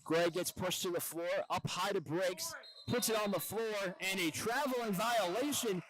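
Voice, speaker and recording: High-voiced man calling basketball play-by-play. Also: normal speed, fairly bright tone, poor audio. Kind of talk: live TV sports commentary.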